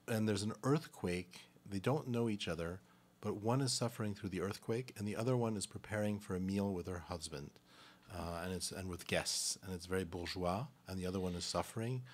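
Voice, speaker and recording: Guy hosting a live radio play, kinda low voice, halting careful delivery. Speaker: presenter and stage-directions reader. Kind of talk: live introduction and scripted narration of radio play.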